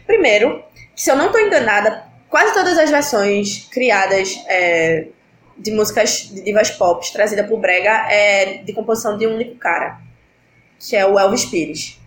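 A woman's voice: mid-range voice, a bit bright. Chatty young woman with a cold. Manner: chatty, leads the segment, explains at length. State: stuffy with a cold. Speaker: podcast co-host. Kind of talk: casual podcast chat. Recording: roomy room, faint noise.

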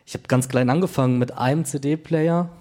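Hoarse man with a dark voice. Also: mid-range voice, normal speed. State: hoarse from a cold. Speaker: guest DJ. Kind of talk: casual interview chat.

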